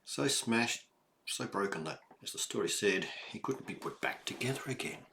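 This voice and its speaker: An older man reading a children's story aloud, deliberate and emphatic, with explanatory asides to young listeners. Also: lowish voice, lively intonation, creaky, bright, forceful tone, a little hiss or hum.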